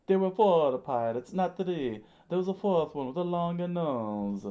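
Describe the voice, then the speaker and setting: High-strung male henchman for a storybook villain gang, done by a male reader: raised pitch, excitable, pleading, muffled recording. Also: normal speed, close to an everyday voice. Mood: panicked.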